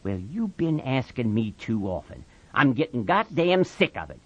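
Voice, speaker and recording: A man voicing a steady, authoritative ranch hand in an audiobook, speaking gruffly and bluntly. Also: no room sound, muffled recording. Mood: irritated. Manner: low-voiced, blunt, measured authority. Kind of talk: dramatised audiobook of a novel.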